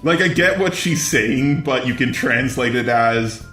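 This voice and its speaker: A man's voice: mid-range voice, unhurried, a bit bright, roomy sound, soft background music. A synthetic, robotic male voice delivering scripted commentary in a blunt, sardonic way, as a robot persona. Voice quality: synthetic robotic text-to-speech voice. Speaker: video commentator.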